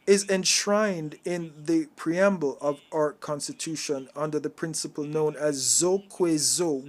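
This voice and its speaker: A man with a fairly high-pitched voice works as a simultaneous interpreter of a formal address. His phrasing is halting, coming in uneven bursts as he renders the speech live.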